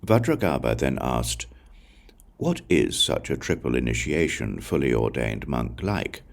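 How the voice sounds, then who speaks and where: A man reading Buddhist scripture aloud as an audiobook, in measured, solemn short phrases. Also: extremely low pitch, very animated, vocal fry. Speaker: audiobook reader.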